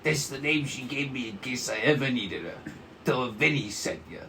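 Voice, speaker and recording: A man's voice: mid-range voice, lively intonation, normal speed, pushed and bright, slight background noise. A man playing a timid ex-security guard, speaking in plain colloquial terms in a cartoon script table read. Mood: guarded, confiding. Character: timid, husky male ex-security guard, cooperative informant. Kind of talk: animated series table read.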